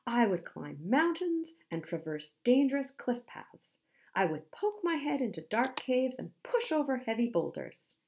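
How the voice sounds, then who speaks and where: A woman's voice: a little high, big pitch swings, a little slow, a little mellow, pitched above the performer's own voice. A woman voicing a vain, pompous and reluctant male musk ox in a storybook, with a grandiose, put-upon manner. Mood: boastful.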